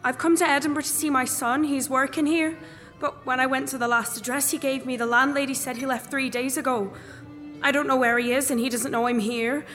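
A woman's voice: high voice, fairly even intonation, a little fast, a bit bright, soft background music. An actress playing a poor, middle-aged Irish woman who is earnestly searching for her son, recorded live on stage. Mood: pleading. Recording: live stage, hall reverb.

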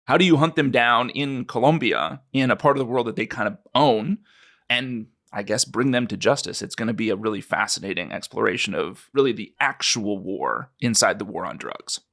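A man co-hosting a podcast, talking in a relaxed way with lots of hedging fillers. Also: fairly low pitch, moderate pace, creaky. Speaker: podcast co-host. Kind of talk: conversational podcast chat.

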